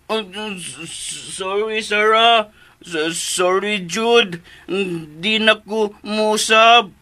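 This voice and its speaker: An actor playing a childlike, simple-minded grown man in a radio drama, stammering in halting, broken phrases and slow to understand. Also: high voice, pushed and bright, full character voice. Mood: anxious, apologetic.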